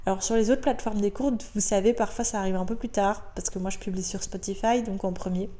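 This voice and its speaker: Woman, French accent, solo podcast host; warm, mellow tone, chatty and upbeat, lots of filler sounds. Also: medium pitch, steady tone, fast talker. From Belgium. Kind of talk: casual solo podcast monologue. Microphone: podcast microphone.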